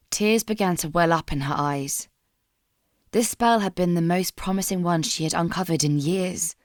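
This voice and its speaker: A woman with a low voice reads a dramatic story from a script. Her telling is measured and solemn, and the sound is very dry and close. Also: no echo. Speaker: fan fiction narrator.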